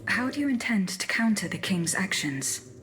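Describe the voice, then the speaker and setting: A woman voicing a courteous, inquisitive female automaton bodyguard in a video game, speaking formally and with measured reserve. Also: mid-range voice, sing-song, a bit bright. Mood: composed, inquiring. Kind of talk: video game dialogue acting.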